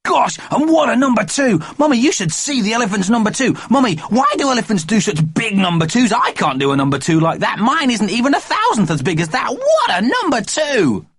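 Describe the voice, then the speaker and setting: A man reading a storybook, voicing a small, curious, stubborn boy in a high, excited child's voice. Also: a little fast, few pauses, no echo, studio quality. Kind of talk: audiobook character voice.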